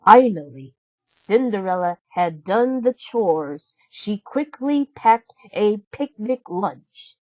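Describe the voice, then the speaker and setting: Unhurried, expressive woman reading a story. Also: medium pitch, no room sound, rough recording. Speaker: storybook reader. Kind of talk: children's read-aloud narration.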